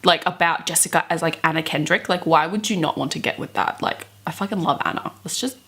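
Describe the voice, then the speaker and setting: A woman's voice: low-pitched, quick pace, a bit bright, slightly rough. Theatrical young woman reading aloud, chatty. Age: 20s. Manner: theatrical, giggly, gushing. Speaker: book vlogger. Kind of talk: dramatic reading of fan fiction with chatty asides. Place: bedroom, indoors.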